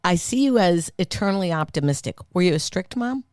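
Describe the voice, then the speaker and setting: A woman hosting a casual podcast interview, playful and quick to jump in with reactions and questions. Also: fairly low pitch, expressive, darkish tone, dry recording, studio quality.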